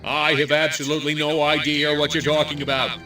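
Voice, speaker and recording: An actor playing a glib, shifty, pompous American small-town mayor, speechifying in a comic video game cutscene. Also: male voice, fairly high pitch, flat delivery, a little fast, natural voice. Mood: glib, evasive. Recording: distant microphone, music behind.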